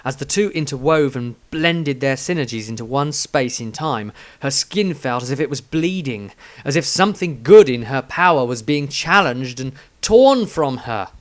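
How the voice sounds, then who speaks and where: A man reading a fantasy story as a dramatic audiobook narrator, with theatrical, deliberate emphasis. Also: mid-range voice, animated.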